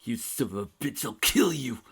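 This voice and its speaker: A man voicing a furious young male dragon who shouts and screeches threats at a villain. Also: on the low side, lively intonation, moderate pace. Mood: enraged.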